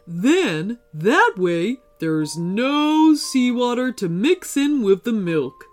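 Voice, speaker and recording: A woman voicing a gentle, gloomy male sea monster in a storybook, slow and glum. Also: fairly high pitch, big pitch swings, soft background music. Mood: pleased.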